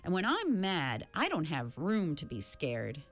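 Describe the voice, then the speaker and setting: A woman's voice: deep voice, wildly swooping pitch, unhurried, soft background music, exaggerated voice. A woman voicing an earnest, fiercely protective young girl in a storybook, speaking emphatically.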